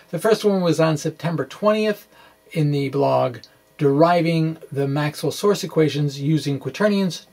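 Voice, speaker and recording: A middle-aged man explaining science from a blog in a halting, quirky, playfully dramatic way. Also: on the high side, a little mellow. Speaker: science blogger presenting puzzle answers. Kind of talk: semi-scripted explanation, reading a blog aloud. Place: small indoor room with bookshelves. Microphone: desk microphone in front of him.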